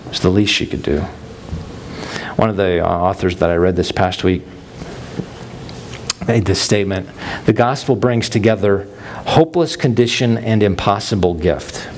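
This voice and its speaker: A man's voice: sits low, moderate pace, pauses often, slight background noise. A man preaching a sermon as pastor, explaining scripture in a conversational teaching manner with frequent fillers.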